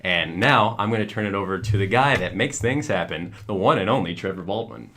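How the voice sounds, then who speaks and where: A man's voice: on the low side, fairly quick, bright, forceful tone, slight background noise. A young man with a Western Kentucky accent, co-hosting a casual podcast with friends, upbeat and enthusiastic. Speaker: podcast co-host.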